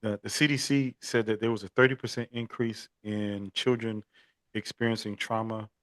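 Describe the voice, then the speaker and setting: Earnest man from Miami, low voice, dry close recording, podcast guest. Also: little pitch movement, normal speed, dry recording. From Miami, Florida. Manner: earnest, repeats words when starting sentences. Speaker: podcast guest, equine therapy expert. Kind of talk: casual interview talk.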